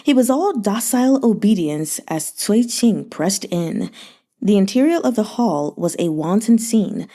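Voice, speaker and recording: A woman's voice: medium pitch, animated, a little slow, warm tone. A woman reading a novel aloud expressively, sometimes adding brief asides of her own. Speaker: audiobook reader.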